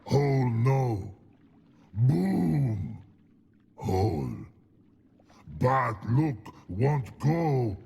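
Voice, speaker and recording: An actor playing a huge, childlike, dim-witted troll who speaks in broken, halting fragments with a gravelly voice. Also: male voice, mid-range voice, very animated, warm tone, heavily acted. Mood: glum, confused.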